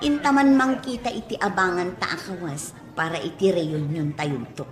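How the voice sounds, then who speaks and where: An actress with an Ilocano Filipino accent playing a needling, nosy former schoolmate in a radio drama. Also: female voice, lowish voice, moderate pace, reverberant, some chatter behind, close to an everyday voice. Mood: coaxing.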